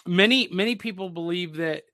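A man co-hosting a podcast in casual, nostalgic pop-culture chat, often pausing to search for his words. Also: high-pitched, bright, forceful tone. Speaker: podcast co-host.

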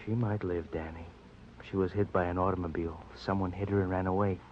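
A solemn, caring city doctor, acted by a man, speaking fairly low and measured with quaintly turned phrasing. It is old radio drama with a muffled sound. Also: steady tone, slight background noise, close to an everyday voice. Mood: grave, concerned.

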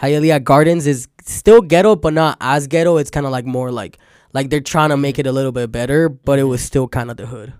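Young Venezuelan-accented man, smooth voice. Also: mid-range voice, a little fast, dry recording, clean studio sound. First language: Spanish. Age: young adult. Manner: rambling, frequent "like" fillers, relaxed storytelling. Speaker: podcast guest. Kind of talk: casual chat.

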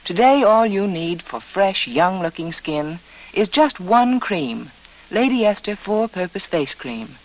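Very animated woman reading a radio ad. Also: mid-range voice, unhurried, poor audio. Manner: direct, persuasive address. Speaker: hostess reading sponsor advertisement. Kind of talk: 1940s radio advertisement, scripted.

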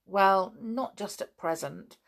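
A woman's voice: mid-range voice, slow. A woman voicing a proud, emphatic tomboy girl in a storybook reading. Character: bold, proud tomboy girl who owns an island.

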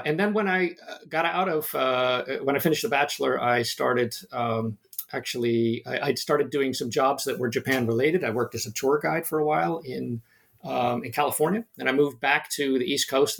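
A man's voice: medium pitch, gravelly, a bit bright. A middle-aged man with an American accent answers interview questions as a history professor, talking in a hesitant way with many fillers and restarts. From United States (New Jersey). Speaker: history professor, podcast guest.

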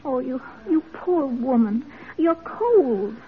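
A woman's voice: sits high, animated, takes it slowly, phone-quality sound. An actress playing a genteel, compassionate, determined lady reformer in an old-time radio drama, earnest and insistent. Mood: pitying. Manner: earnest, insistent, well-mannered. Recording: thin vintage radio recording.

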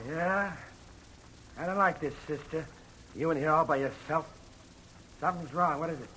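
A suspicious crook's henchman from a 1940s radio drama. His voice runs high, and he needles with menace through clear noise on an old recording. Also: normal speed, sharp tone, low-quality recording.

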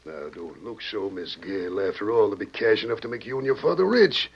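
A folksy American banker from a radio Western, persuasive and falsely sympathetic. His voice is raspy and creaky, with very lively intonation. It sounds like a muffled old broadcast. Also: fairly low pitch, fairly quick, exaggerated voice. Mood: smooth. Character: smooth, scheming small-town banker and lawyer.

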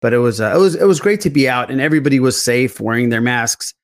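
A man with a medium-pitched voice hosts a casual group podcast. He talks in a loose, rambling storytelling way, plain-spoken and informal. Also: expressive, fast talker. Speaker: podcast host.

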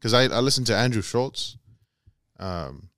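A man's voice: on the low side, lively intonation, a little slow, a bit bright, no room sound, studio quality. A man with a Texan accent in casual chat, easygoing, agreeable and swearing casually. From Texas.

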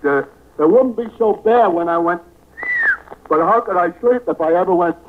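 Man playing a dopey high-voiced tavern regular, old radio. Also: normal speed, close to an everyday voice. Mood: dopey, weary. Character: slow-witted, tired tavern regular, a dim-bulb man. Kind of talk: 1940s radio comedy acting. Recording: scratchy, narrow-band 1940s radio recording.

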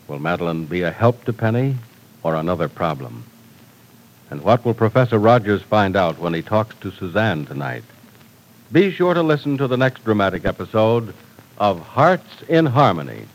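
A man's voice: on the low side, rough recording. Emphatic old-time radio announcer man. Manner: smooth, emphatic announcer delivery. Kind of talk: scripted radio narration and ad read.

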